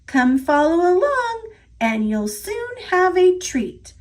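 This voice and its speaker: A woman's voice: very high-pitched, very animated, slow pace, voice pushed up, heavily acted. A woman voicing a cheeky, boastful young gingerbread girl who teases in sing-song rhymes. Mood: gleeful, taunting.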